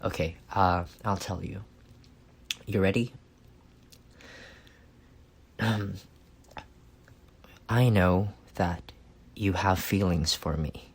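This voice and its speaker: A man acting a reserved, awkward and earnest best friend in an intimate roleplay, speaking softly and haltingly. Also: low voice, fairly even intonation, slightly rough, exaggerated voice. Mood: calm, hesitant. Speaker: voice actor.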